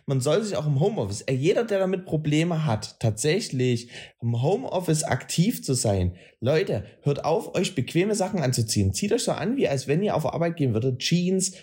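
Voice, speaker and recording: Man, Saxon German accent, casual podcast chat, blunt slang, husky, mellow. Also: a little high. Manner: rambling, self-correcting, blunt slang. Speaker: podcast co-host.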